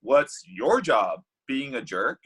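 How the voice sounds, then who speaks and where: A man's voice: mid-range voice, very animated, slow pace, a bit bright, husky, exaggerated voice. A man reading the part of a flippant, evasive, bitter thirty-year-old Cupid at a comedy table read. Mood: flippant, defensive.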